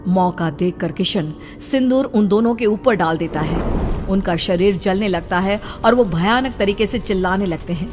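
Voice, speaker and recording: A woman's voice: medium pitch, normal speed, in a large hall, over music. A woman storyteller delivering dramatic scripted narration of a horror cartoon tale.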